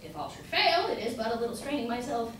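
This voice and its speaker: Young woman playing a jolly old man, high-pitched, echoey. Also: expressive, fast talker, fairly bright tone. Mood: merry, carefree. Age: young adult. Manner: theatrical, breaks into song. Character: jolly old carefree singing husband and father. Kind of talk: amateur staged play reading. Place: indoor room at a college staged reading. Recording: echoey hall from far off, noisy.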